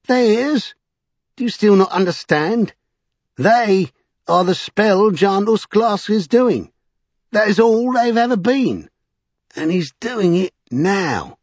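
A man's voice: a little high, lively intonation, slow pace, gravelly, slightly rough, dry recording, pitched above the performer's own voice, heavily acted. A man voicing a mocking, exasperated, cryptic street prophet in an audiobook. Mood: scornful, gleeful. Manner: scoffing, goading.

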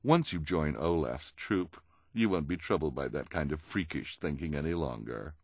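Audiobook villainess, played by a man: haughty, persuasive schemer, animated pitch, close dry sound. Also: moderate pace, dry recording, heavily acted. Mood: scornful. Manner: persuasive, emphatic, condescending.

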